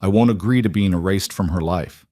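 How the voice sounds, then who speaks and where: Man as a low-voiced, earnest mafia boss husband. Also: mellow, no echo, clean studio sound. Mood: measured, resolute. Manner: measured, insistent.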